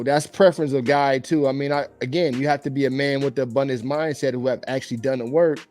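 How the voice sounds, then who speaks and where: A man talks through dating opinions in a loose, rambling, conversational way. His voice is warm and mellow, with a slight hoarseness from a cold. Faint music plays in the background. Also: medium pitch. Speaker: dating commentary vlogger. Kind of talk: casual opinionated commentary.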